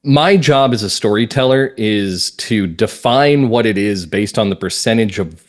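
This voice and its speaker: Male interview guest, American, lowish voice, measured phrases with firm emphasis, conversational delivery. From northern Massachusetts, US. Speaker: guest playwright and comedy writer.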